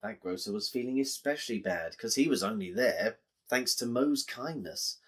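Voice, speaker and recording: An English man tells a story for children. His pitch moves around in a very lively way, and he explains things directly to his listeners with plenty of expression. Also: moderate pace, husky.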